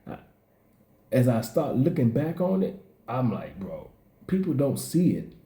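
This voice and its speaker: A man's voice: mid-range voice, very animated, measured pace, mellow, roomy sound. A man with a Southern accent, rambling in profane street slang as he gives casual commentary on his vlog. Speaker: blogger.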